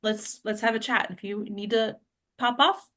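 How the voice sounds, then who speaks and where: A woman hosting a casual group discussion, speaking in a halting way with many fillers. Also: a little high, fairly even intonation, fairly bright tone. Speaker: host leading a discussion.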